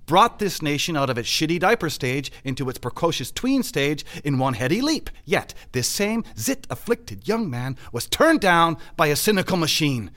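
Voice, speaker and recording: A man's voice: fairly high pitch, big pitch swings, moderate pace, few pauses, a bit bright. A man acting as a glib, cavalier government bureaucrat in a satirical audio drama, wordy, mock-grandiose and evasive. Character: glib, cavalier male government arts bureaucrat, former actor.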